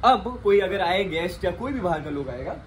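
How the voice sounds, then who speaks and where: A man's voice: sits high, expressive, normal speed, slight background noise. Playful young man filming a selfie tour. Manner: playful, joking, mixes Hindi and English. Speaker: vlogger host. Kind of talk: casual house-tour chat. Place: apartment rooms indoors. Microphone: phone at arm's length.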